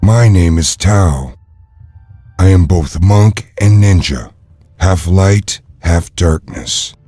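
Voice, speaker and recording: Enigmatic calm monk warrior: deep, deliberate, dry sound, formal phrasing for a game cutscene. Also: male voice, dry recording, soft background music, heavily acted. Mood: serene, cryptic. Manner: measured, formal. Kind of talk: dramatic video game acting.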